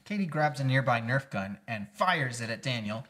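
A man's voice: mid-range voice, expressive, normal speed, fairly bright tone. A young adult man reading scene headings and descriptions aloud at a casual table read. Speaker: guest reader of scene descriptions. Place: small indoor room, seated at table.

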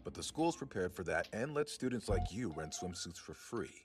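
A man voicing a flippant, exasperated high school English teacher in an anime fan dub, casual and wry. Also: big pitch swings, moderate pace, over music.

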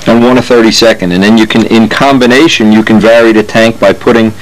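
A man's voice: on the low side, steady tone, fast, rough recording. An older man, a model airplane builder, talks casually while working at his hobby workshop bench, often breaking off mid-sentence and correcting himself.

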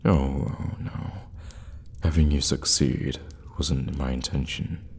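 A man playing an evil, sadistic warlock in an ASMR fantasy roleplay, teasing and menacing, often slipping into a breathy whisper. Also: a bass voice, fairly even intonation, full character voice. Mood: mocking, sadistic. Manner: slow, teasing, drawn-out hums and dark chuckles.

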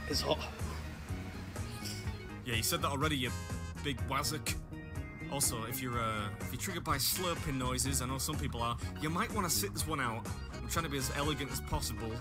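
A man's voice: mid-range voice, brisk. Dry-witted English man vlogging with music. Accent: Northern English. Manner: dry, self-mocking humor. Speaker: food challenge vlogger. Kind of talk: casual vlog narration. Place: car and restaurant.

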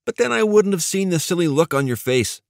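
An excitable young-sounding gadget genius, acted by a male narrator for an audiobook. He is showy, playful and cocky, pitched well up, and speaks with eager, theatrical flair. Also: brisk, vocal fry, dry recording, studio quality. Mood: eager, teasing.

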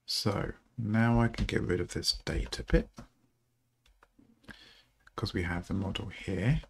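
Slow, gravelly man with a rough morning voice. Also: on the low side. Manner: slow, halting, with many ums. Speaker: programmer streaming a coding session. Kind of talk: thinking aloud while coding.

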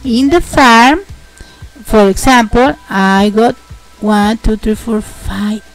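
A young woman with a Mexican accent, a host narrating a casual game tutorial, halting and repeating words as she explains. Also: a little high, faint music behind, rough recording. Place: home, indoors.

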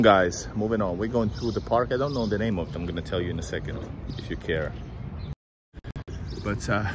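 A man chatting casually as he walks through a city park and streets on a live stream, reading viewer comments and trailing off with fillers. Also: fairly low pitch. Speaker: travel livestreamer. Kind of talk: casual live-stream walking tour narration. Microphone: handheld phone streaming live. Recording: loud outdoor street noise, traffic.

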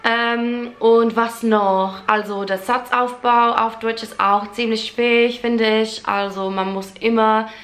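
Slow-talking young Englishwoman speaking learner's German. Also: fairly high pitch, steady tone, a little hiss or hum. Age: young adult. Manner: chatty, self-deprecating, many fillers and restarts. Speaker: vlogger. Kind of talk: casual Q&A chat in German as a learner. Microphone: camera at close range.

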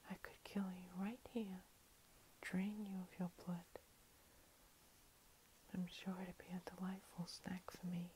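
A woman voicing a playful, menacing vampire cat-girl princess in a soft, breathy ASMR whisper, teasing and condescending. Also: on the low side, lightly acted, low-quality recording. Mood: teasing menace. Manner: slow, teasing, condescending, with lingering pauses. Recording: steady hiss.

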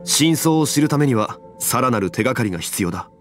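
Man playing a calm, dignified, analytical gentleman. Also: medium pitch, soft background music, close to an everyday voice. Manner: measured, formal, deliberate reasoning. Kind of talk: Japanese anime game voice acting.

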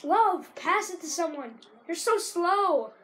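A teenager with a sore throat rambling casually while commentating a video game, breaking into excited shouts at plays. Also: female voice, extremely high pitch, slow pace. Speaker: gamer.

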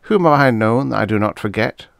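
A man's voice: medium pitch, expressive, normal speed, creaky, fairly bright tone, no echo, studio quality, pitched above the performer's own voice. A man voicing a self-assured, boastful politician of seventy in a story, speaking formally and pompously. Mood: smug, frosty. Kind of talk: storybook character dialogue.

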